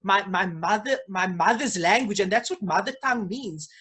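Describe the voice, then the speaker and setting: A young man, a guest in a casual video call chat, speaking deliberately and repeating words before making his point. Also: high voice, bright, forceful tone, breathy edge. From South Africa. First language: Afrikaans as mother tongue, English first language. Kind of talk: casual conversation. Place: small indoor room.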